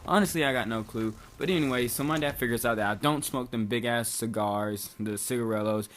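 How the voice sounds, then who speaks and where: A man's voice: mid-range voice, a little fast, a bit bright. A young man from Bosnia casually telling a rambling, profane story, full of "like" and swearing. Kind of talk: casual storytime over gameplay.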